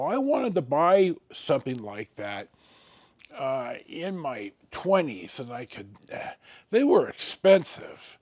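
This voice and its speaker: An older man with an American accent telling personal stories in a casual, rambling way, halting and digressing and acting out bits of dialogue. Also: mid-range voice.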